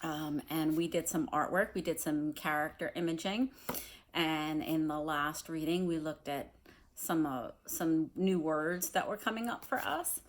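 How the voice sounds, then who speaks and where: A woman's voice: sits low, a little slow. Woman teacher reading aloud. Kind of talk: read-aloud of a children's novel with informal teacher talk.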